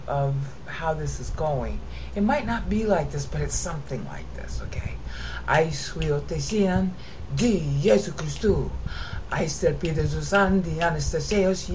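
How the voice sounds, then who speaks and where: An American woman teaching from a screen, explaining a text and chanting it aloud in a rhythmic cadence. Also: low-pitched. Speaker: Bible teacher. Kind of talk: screen-share lesson with chanted reading. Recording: dull lo-fi audio with steady noise.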